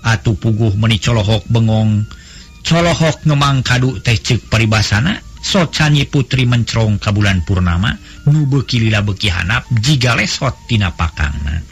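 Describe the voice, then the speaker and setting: Husky Sundanese man telling a folk tale. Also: fairly low pitch, animated. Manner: melodic, unhurried storytelling cadence. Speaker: storyteller. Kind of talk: traditional folk tale narration. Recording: faint background music.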